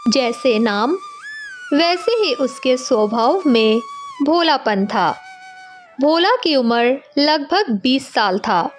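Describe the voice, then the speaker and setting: A woman narrating a scripted village story as a storyteller. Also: high voice.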